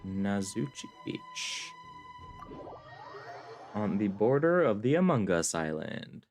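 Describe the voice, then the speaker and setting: Unhurried, low-voiced man streaming. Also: huge pitch swings, darkish tone, soft background music. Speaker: video game streamer. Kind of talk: casual gameplay commentary.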